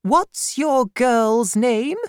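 A woman's voice: fairly high pitch, smooth, no echo, studio quality, voice pushed up. A woman putting on a stern, bossy old aunt. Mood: brusque. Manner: brisk, bossy, slowed and emphatic. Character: stern brusque older aunt, commanding woman. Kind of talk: storybook reading.

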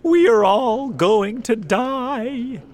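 A man voicing a storybook firefighter chief who cries out in loud, frightened exclamations. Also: mid-range voice, lively intonation, slow pace. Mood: terrified. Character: terrified male firefighter chief crying out warnings.

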